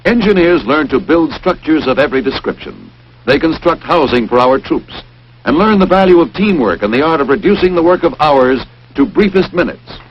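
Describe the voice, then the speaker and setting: A man's voice: on the high side, expressive, normal speed, breathy edge. A man narrating a wartime training film in an emphatic, punchy 1940s newsreel-announcer style. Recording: dull, hissy 1940s film soundtrack.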